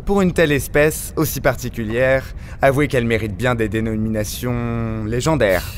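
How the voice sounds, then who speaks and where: An actor playing a haughty, eccentric master of an undersea dome in an audio drama, pompous and scornful. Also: male voice, medium pitch, normal speed, a little hiss or hum, natural voice. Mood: grandiose. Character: haughty eccentric owner of an undersea dome, fervent creature-lover. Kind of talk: dramatic audio fiction acting.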